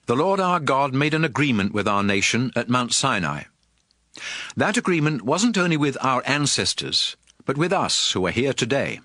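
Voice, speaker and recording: A man's voice: medium pitch, very animated, moderate pace, pushed and bright, no room sound, studio quality. An actor playing a solemn, commanding male prophet who addresses his people with emphatic oratory in a dramatized audio Bible.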